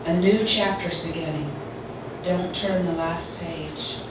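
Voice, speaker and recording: Slow, lowish-voiced woman reciting in heavy echo. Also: fairly even intonation. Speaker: congregation member reading poetry. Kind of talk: poetry recitation. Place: large echoey indoor room at a church service, mic at a distance. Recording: distant, very echoey, loud noise.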